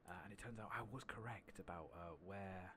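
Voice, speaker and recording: A man giving soft-spoken, often whispered ASMR game commentary in a casual, rambling murmur, as if half talking to himself. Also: sits low, fairly quick, background noise. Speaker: streamer playing an online fantasy game.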